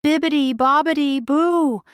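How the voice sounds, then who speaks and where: A woman's voice: sits high, unhurried, no echo, clean studio sound, pitched above the performer's own voice. A woman voicing a kindly, urgent fairy godmother from a storybook, with a motherly, exclamatory manner. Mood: excited.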